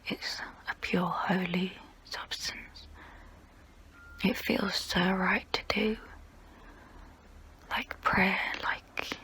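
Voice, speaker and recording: A woman playing a guilty, melancholy centuries-old vampire in an ASMR role play, whispering in a slow, hesitant, wistful way with formal phrasing. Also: fairly low pitch, a bit bright, full character voice. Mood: wistful, conflicted. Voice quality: breathy whisper.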